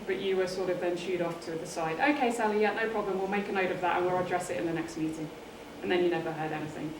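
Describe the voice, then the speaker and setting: A woman from England with a southern English accent speaks as an interview guest in a fairly low voice. Her delivery is measured and stays very even in pitch. The sound is roomy and echoing. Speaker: interview guest, sports author. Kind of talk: interview answers, personal storytelling. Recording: roomy echo, distant mic, faint noise.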